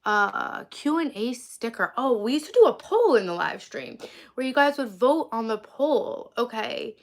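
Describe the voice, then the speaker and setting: Forties woman from long island, kinda high voice w/ vocal fry, sniffly w a cold, rambling livestream chat outside under a tree. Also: sing-song, measured pace. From Long Island, New York. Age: 40s. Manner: rambling, heavy use of "like". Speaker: livestream vlogger. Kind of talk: casual live stream chat with viewers. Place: outdoors, sitting under a tree.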